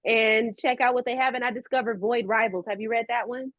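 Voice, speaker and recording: An American woman chatting casually as an interview guest, expressive, earnest and effusive in how she talks. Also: a little high, brisk, no echo, muffled recording. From California, USA. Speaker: voice actress, podcast guest.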